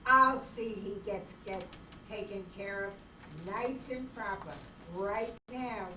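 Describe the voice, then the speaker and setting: A distant, echoey recording with heavy noise carries a radio-play gunman acted by a woman. The character is courteous even while threatening, lovesick and folksy in phrasing. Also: mid-range voice, takes it slowly. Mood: polite menace. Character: folksy, polite, lovesick male gunman named Marvin. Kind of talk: old-time radio drama acting. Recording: roomy, noisy, distant microphone stage recording.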